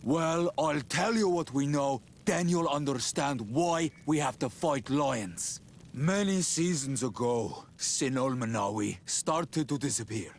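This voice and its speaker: Stern, slow-spoken rebel chief. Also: male voice, mid-range voice, expressive, slight background noise. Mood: grave. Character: distrustful male rebel chief, grave and defiant.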